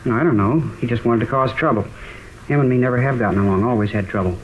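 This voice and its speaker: A man's voice: medium pitch, fast talker, natural voice. A man playing a defiant, evasive fifteen-year-old American schoolboy in a mid-century radio drama. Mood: sullen, evasive. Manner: evasive, sullen, colloquial. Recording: dull, lightly noisy old radio recording.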